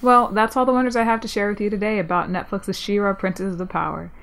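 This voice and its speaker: A woman's voice: mid-range voice, steady tone, fast talker, creaky, fairly bright tone. A young woman hosting a solo podcast review, talking in an enthusiastic, chatty way with frequent ums, her voice a little stuffy from a cold. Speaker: podcast host.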